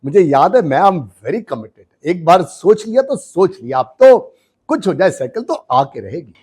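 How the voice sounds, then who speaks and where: Husky, animated man in his sixties. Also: sits high, a little slow. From India. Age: 60s. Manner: animated, emphatic, mixes languages. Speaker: business coach guest. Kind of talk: storytelling interview in mixed Hindi and English.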